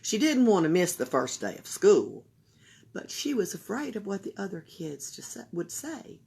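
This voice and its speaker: A woman reading a children's picture book aloud for a library, slow and expressive. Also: mid-range voice, slight background noise. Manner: slow, expressive, breathy storytelling. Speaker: library storyteller.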